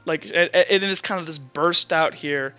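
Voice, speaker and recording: A man narrating a tabletop roleplaying game as game master, improvising haltingly as he goes. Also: a little high, pushed and bright, clean tone. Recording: dull lo-fi audio under music.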